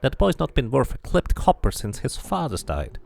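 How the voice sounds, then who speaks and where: A man's voice: medium pitch, sing-song, normal speed, gravelly, darkish tone, exaggerated voice. A man voicing a cranky, scornful old woman who gossips and mutters insults in a fantasy game. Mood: gossipy.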